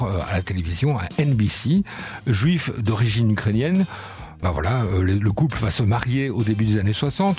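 A man's voice: mid-range voice, darkish tone. A man hosting a casual radio segment, telling a musician's story in a chatty way with frequent fillers. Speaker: radio show host.

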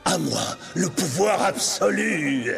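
A man's voice: on the high side, big pitch swings, measured pace, faint music behind, pitched above the performer's own voice. A man voicing a scheming male vizier turned sorcerer, honeyed and mocking, in a storybook reading. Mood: triumphant.

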